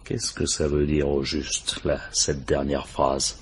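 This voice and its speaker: An actor playing a shrewd, authoritative police commissioner who questions insistently and methodically in a French radio crime drama. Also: male voice, extremely low pitch, little pitch movement, takes it slowly, a little hiss or hum. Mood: pointed, suspicious.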